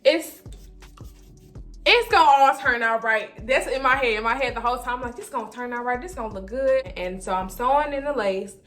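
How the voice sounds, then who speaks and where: A young woman with a fairly high voice chats casually to her viewers in storytime fashion. She is animated, slipping into quoted reactions and thoughts as she talks. Light music plays behind her. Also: moderate pace. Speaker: vlogger.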